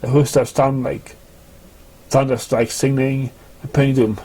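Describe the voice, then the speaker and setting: A man reading a story aloud in an amateur way, with a halting, stumbling delivery. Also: mid-range voice, little pitch movement, noisy background. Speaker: fan fiction reader. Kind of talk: amateur story reading with put-on character voices.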